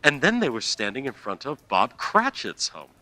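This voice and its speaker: A man's voice: medium pitch, wildly swooping pitch, moderate pace, pushed and bright, no echo. A man narrating a scripted radio play as storyteller and announcer.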